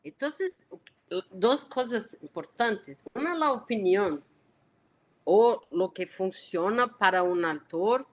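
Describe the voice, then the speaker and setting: A woman with a mixed Porteño and Portuguese-influenced accent, a guest lecturer discussing academic ideas haltingly as she searches for words. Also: mid-range voice, expressive. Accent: Porteño-influenced Spanish with Portuguese mix. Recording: dull, lo-fi online audio.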